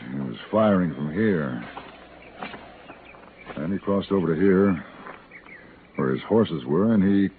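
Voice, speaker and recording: An actor playing a calm, principled gunfighter in a 1950s Western radio drama, terse and measured. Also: male voice, sits low. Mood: calm, firm. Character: calm, steady male gunfighter of the Old West, principled. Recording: scratchy, noisy, narrow old radio recording.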